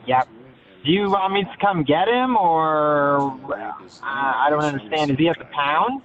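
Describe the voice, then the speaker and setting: Guy on a phone call, slightly high voice, hesitant and evasive, very tinny line. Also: unhurried, a bit bright. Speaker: caller. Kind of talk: halting phone conversation. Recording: thin, narrow-band phone line.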